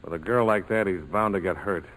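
An actor playing a cool, sardonic pilot skipper with a terse, commanding delivery in a 1940s radio drama. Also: male voice, low-pitched, brisk, a bit bright. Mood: dry, wary. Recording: noisy old 1940s radio broadcast.

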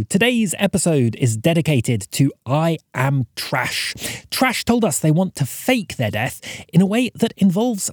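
A male podcast host reads scripted announcements courteously. His voice is fairly high and very expressive, with deliberate phrasing and a dry studio sound. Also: slightly rough, dry recording.

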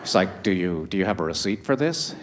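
A man's voice: deep voice, expressive, fairly quick, heavy background noise. A Trinidad-born man with a Canadian accent doing a stand-up comedy set, chatty and acting out characters, laughing at his own jokes. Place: live comedy show with audience.

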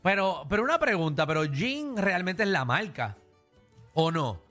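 A man co-hosts a radio show, quick to joke and react. His voice is high, bright and swings widely in pitch. Faint music runs underneath. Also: big pitch swings. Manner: playful, joking, quick reactions. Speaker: radio co-host.

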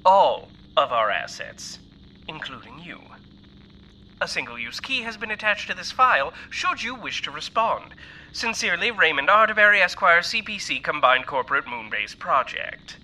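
A very bright, sharp voice with a slightly high pitch and some noise around it. a smug corporate lawyer who issues threats with theatrical, false courtesy in a radio drama message. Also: male voice, sing-song, moderate pace, heavily acted, poor audio. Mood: smug menace. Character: smug menacing male corporate lawyer delivering an ultimatum. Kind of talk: audio drama acting, formal recorded message.

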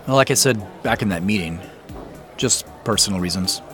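A man's voice: lowish voice, moderate pace, fairly bright tone, faint music behind, close to an everyday voice. An actor playing a casual, self-deprecating man under questioning in an audio drama, speaking informally and a little guardedly. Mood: guarded, apologetic. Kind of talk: naturalistic audio drama dialogue.

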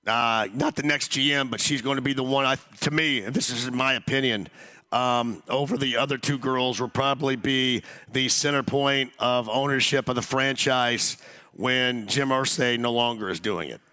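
A man hosting a sports talk radio show, hoarse after losing his voice, talking loosely with many restarts. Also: mid-range voice, steady tone, moderate pace, pushed and bright. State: hoarse, lost voice.

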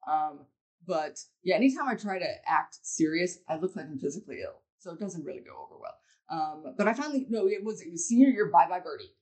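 A woman with a deep voice, talking in a blunt, rambling way as a podcast guest, swearing freely. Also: animated, fast talker, a little mellow. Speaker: guest comedian. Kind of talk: casual podcast chat.

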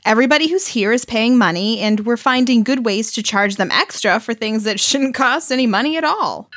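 A woman's voice: on the high side, expressive, fairly quick, a bit bright, dry recording. An actress playing a shrewd, cynical woman con artist who is wry and matter-of-fact, in an audio play. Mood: wry, businesslike.

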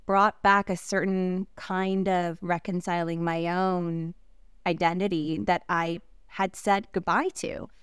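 Very clear-voiced actress in interview. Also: female voice, lowish voice, little pitch movement, dry recording, clean studio sound. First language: Korean. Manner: halting, frequent fillers and soft laughs. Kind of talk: reflective interview talk.

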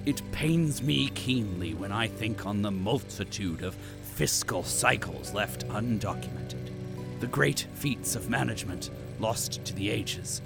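An actor playing a pompous, self-pitying company official in an audio drama, speaking in florid, grandiloquent phrases with melodramatic flair. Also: male voice, lowish voice, lively intonation, a little slow, creaky, over music. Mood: weary, mournful pomp.

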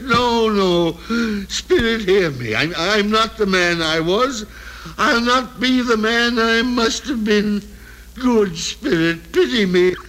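An actor playing an excitable, stammering old miser in a vintage radio drama, with theatrical delivery. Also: male voice, sits high, heavily acted. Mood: anguished pleading. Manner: theatrical, stammering exclamations and repetitions. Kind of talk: 1930s-40s radio drama acting. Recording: old radio recording with music behind.

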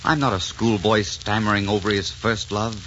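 A man's voice: fairly low pitch, level tone, normal speed, pushed and bright, a little hiss or hum. An actor playing a suave, ardent French nobleman in a courtly, theatrical 1940s radio romance. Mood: tender, romantic. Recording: muffled old 1940s radio recording.

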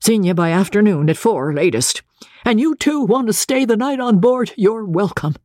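A woman voicing an excitable, commanding male riverboat captain in an audiobook, loud and emphatic. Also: medium pitch, normal speed, no echo, clean studio sound, voice pushed up, close to an everyday voice.

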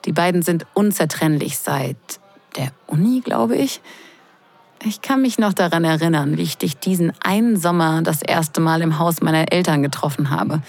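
A woman's voice: sits low, a little mellow. A woman acting a sarcastic, flustered young sister of the groom in an intimate, confiding audio drama. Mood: teasing, annoyed. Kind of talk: intimate first-person audio drama narration and dialogue.

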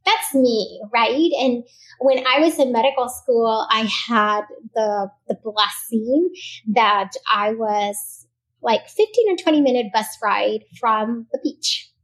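A woman's voice: on the high side, slow pace, low-quality recording. A woman doctor from Puerto Rico whose first language is Spanish, speaking earnestly as a podcast guest, often tagging "right?" and using fillers. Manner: earnest, warm-hearted, with many fillers and "right?" tags. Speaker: physician guest. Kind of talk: podcast interview.

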